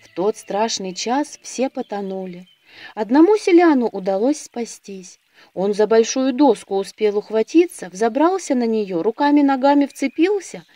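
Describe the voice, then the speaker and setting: A woman storyteller narrating a scripted audio fairy tale in a measured, expressive manner. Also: a little high, no echo, faint music behind.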